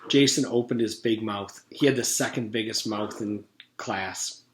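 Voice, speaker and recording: A man's voice: fairly low pitch, measured pace. A man in his forties, a teacher, reading a children's story aloud with casual recaps. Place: room at home. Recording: roomy echo, background noise.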